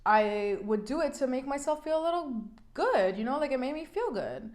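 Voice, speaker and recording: A woman's voice: high-pitched, very animated, moderate pace. Congested, chatty woman vlogger. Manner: chatty, frank, many "like" fillers. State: congested from a cold. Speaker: beauty vlogger. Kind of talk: casual chat while doing makeup. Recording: echoey room.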